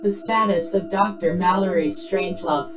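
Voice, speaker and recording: A woman's voice: fairly low pitch, fairly even intonation, moderate pace, lightly acted, low-quality recording. A woman voicing an impersonal, official science-fiction debriefing system with formal, terse delivery. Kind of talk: scripted dramatic reading, clipped transmission prompts. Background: background music.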